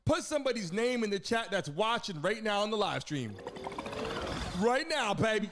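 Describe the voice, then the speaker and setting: A man hosting a live stream in hyped casual chat, excitable and repetitive as he calls out to his viewers. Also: high voice, normal speed. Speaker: livestream host. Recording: loud music behind the voice.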